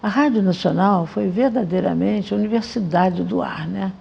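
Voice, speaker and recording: Female interviewee, gravelly and dark, lo-fi dull recording. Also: mid-range voice, moderate pace. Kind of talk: documentary interview.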